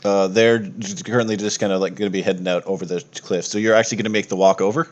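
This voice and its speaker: A man with a fairly low voice runs a tabletop roleplaying game. He narrates casually and makes it up as he goes, pausing and rephrasing his explanations. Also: fairly even intonation, dull audio, slight background noise. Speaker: game master.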